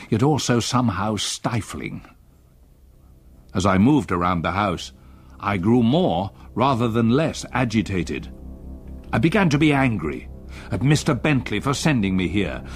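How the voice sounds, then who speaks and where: An older man with an English accent playing a retired solicitor who narrates a haunting memory in a measured, literary radio-play manner. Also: lowish voice, slightly rough, full character voice.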